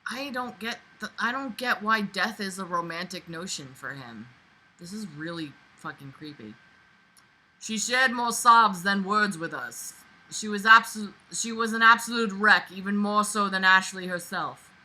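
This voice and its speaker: A woman reading aloud and commenting with exasperated, animated, emphatic reactions that swing dramatically in intensity. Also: medium pitch, unhurried, low-quality recording.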